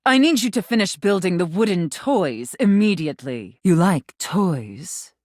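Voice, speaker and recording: A stern, imperious workshop boss playing Santa in a theatrical video game style. The voice sits on the low side and swings widely in pitch. Each order is measured and haughty, scolding and clearly in charge. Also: female voice, animated, darkish tone, no room sound, studio quality. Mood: urgent, bossy. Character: stern, domineering woman playing a demanding Santa Claus boss.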